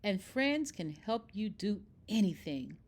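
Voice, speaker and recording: A woman reading a children's picture book aloud in expressive, scripted narration. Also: medium pitch, takes it slowly. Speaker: storybook reader.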